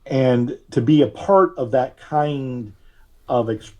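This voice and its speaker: A man speaking as a podcast guest in a conversational interview, thoughtful and often halting as he searches for words. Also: medium pitch, a little mellow. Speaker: podcast guest, artist and reverend.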